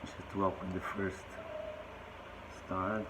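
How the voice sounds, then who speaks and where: A man with a croaky voice casually walking through an engine repair, talking in halting, plain phrases with restarts. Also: deep voice, little pitch movement. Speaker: boat owner showing a repair. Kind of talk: casual how-to demonstration. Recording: loud background noise.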